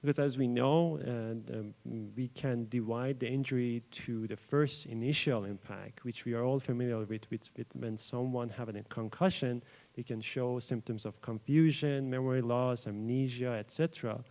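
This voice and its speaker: A young man from Iran, a Persian speaker, presents research to a live audience at a summit. His voice sits at an ordinary middle pitch. His delivery is loose and informal, broken by frequent ums, uhs and restarted phrases as he speaks into a podium microphone. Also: steady tone, moderate pace, darkish tone. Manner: hesitant, frequent fillers and restarts. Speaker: doctoral researcher giving a conference talk. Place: event room at a live summit. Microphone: microphone adjusted at the podium.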